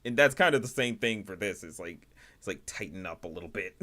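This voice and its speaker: A man hosting a livestream, giving casual, rambling commentary in a halting way, often repeating and rephrasing himself. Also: lowish voice, animated, pushed and bright. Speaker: livestream host reviewing indie animation.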